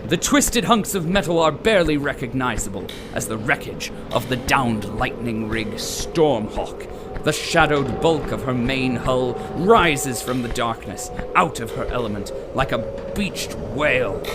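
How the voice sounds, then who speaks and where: A man's voice: mid-range voice, lively intonation, a little slow, over music, lightly acted. A man performing as a solemn, portentous narrator of an audio drama, telling the tale in a dramatic, ornate way. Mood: solemn, suspenseful. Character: solemn storyteller of a frozen dystopia. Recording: loud ambient noise behind.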